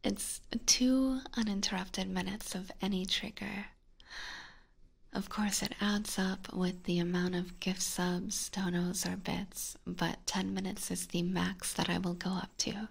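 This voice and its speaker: A woman's voice: medium pitch, little pitch movement, unhurried. A woman whispering breathily and informally to viewers on an ASMR livestream, close to a binaural microphone. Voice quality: breathy, mostly unvoiced whisper. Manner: informal, chatty, addressing viewers directly. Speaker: ASMR live streamer.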